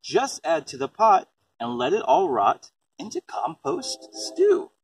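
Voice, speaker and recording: Guy doing read-aloud for a little kid, really lively up and down, mic kinda far off. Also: fairly high pitch, slow pace, breathy edge. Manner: simple explanations and questions aimed at a young child. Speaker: storybook reader and parent explaining composting. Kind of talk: picture-book read-aloud with casual asides to a small child. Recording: distant microphone, faint background noise.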